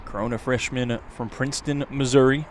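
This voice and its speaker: Male sports broadcaster, mid-range pitch, live baseball play-by-play, audible outdoor noise. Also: fairly even intonation, moderate pace. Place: outdoors at a ballpark. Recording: clear outdoor ambient noise.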